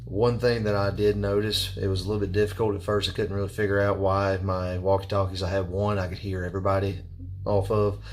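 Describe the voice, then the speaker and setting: A man giving a casual, unscripted product review in a halting, plainspoken and repetitive way. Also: sits low, flat delivery. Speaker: product reviewer and firearms instructor. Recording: clear background noise.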